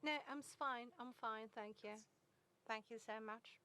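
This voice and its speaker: A woman interpreting live at a press conference, speaking haltingly phrase by phrase. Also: fairly high pitch, fairly even intonation, bright, forceful tone. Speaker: simultaneous interpreter.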